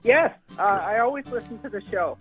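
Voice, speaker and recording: A woman's voice: a little high, animated, moderate pace, soft background music. A woman chats casually as a listener calling in to a radio show over the telephone. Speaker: phone-in listener and caller. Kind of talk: casual phone conversation. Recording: narrow tinny phone line.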